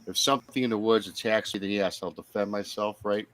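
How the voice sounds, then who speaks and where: A man's voice: fairly low pitch, fairly even intonation, fairly quick, fairly bright tone. A man talking casually as a podcast guest, making his points emphatically and rephrasing as he goes. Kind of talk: casual conversational talk.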